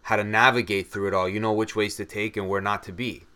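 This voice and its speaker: Low, bright-toned male podcast host. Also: fairly even intonation, quick pace. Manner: supportive, brief acknowledgements. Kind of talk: casual interview.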